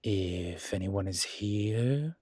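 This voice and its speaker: Man playing a low, husky, weary incubus. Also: lively intonation, a little mellow, no echo, heavily acted. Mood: cautious, formal. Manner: measured, halting, imploring with dry asides. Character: weary, earnest male incubus demon pleading diplomatically. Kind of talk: dramatic audio roleplay.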